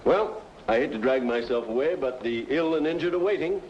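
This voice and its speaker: An actor playing a genial, self-assured small-town doctor in an old-time radio drama, with a chatty clinical manner. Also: male voice, medium pitch, lively intonation, a little fast, close to an everyday voice. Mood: cordial. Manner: clinical, chatty, slightly florid. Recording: dull, faintly noisy old radio recording.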